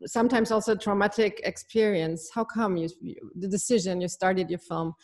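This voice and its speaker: Lady hosting a film fest q&a, mid pitch, kinda halting, asks questions. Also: a little mellow. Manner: hesitant, halting delivery. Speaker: moderator of a film festival Q&A. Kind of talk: semi-scripted hosting and interview questions.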